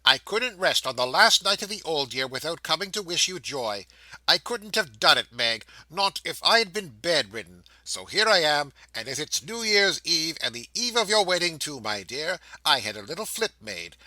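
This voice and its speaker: A man's voice: a little high, big pitch swings, moderate pace, dry recording, voice pushed up, heavily acted, low-quality recording. A man voicing a good-humoured, effusive woman of about fifty who gushes her good wishes in a storybook reading. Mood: gushing. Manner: gushing, warm-hearted exclaiming.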